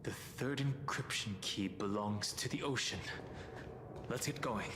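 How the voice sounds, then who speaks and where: A man's voice: mid-range voice, a little slow, noisy background, natural voice. An actor playing a composed, watchful male adventurer in a video game, reasoning carefully through mysteries. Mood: calm, purposeful. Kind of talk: scripted video game voice acting. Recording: echoey, loud music behind.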